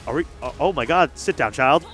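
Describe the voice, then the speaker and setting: A young man commentating a live fighting game tournament, joking and getting loud whenever the play heats up. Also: on the high side, brisk, over music. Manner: excitable, jokey, gets loud when hyped. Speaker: esports commentator. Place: large hangar hall at a gaming event.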